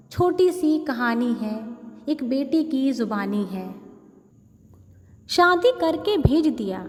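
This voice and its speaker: A woman hosting a story and poetry show, speaking expressively with deliberate, recital-like phrasing. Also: high voice. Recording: long reverb with music behind.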